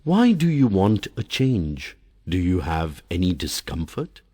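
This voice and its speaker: Male reader doing a calm sun figure, low slow gentle tone. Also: breathy edge, dry recording, clean studio sound, heavily acted. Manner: gentle, reasoning.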